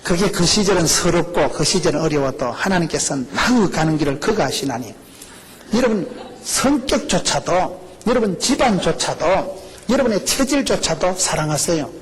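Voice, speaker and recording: A middle-aged male pastor with a Gyeongsang accent, preaching a lively, joking sermon full of rhetorical questions. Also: fairly high pitch, normal speed, breathy edge, rough recording. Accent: Gyeongsang Korean. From rural South Korea. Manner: animated, jokey preaching with rhetorical questions and laughs.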